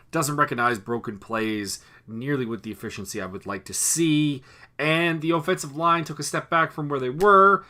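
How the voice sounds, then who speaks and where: A hoarse man hosting a solo review of a football game, reeling off stats and correcting himself as he goes. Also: mid-range voice, sharp tone. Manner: analytical, rattles off stats with frequent self-corrections. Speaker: sports review host.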